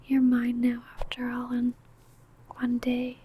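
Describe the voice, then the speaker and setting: A woman's voice: on the high side, monotone, slow, mellow, slight background noise. A woman playing an ancient, possessive, doting female vampire in a whispered, intimate ASMR roleplay, crooning breathily. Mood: protective, possessive.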